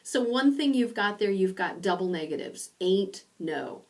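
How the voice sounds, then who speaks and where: A middle-aged woman from rural Indiana giving a recorded online lecture from her home study, explaining slowly with pauses. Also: mid-range voice, expressive, darkish tone, recorded from a distance. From rural Indiana, USA. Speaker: university lecturer.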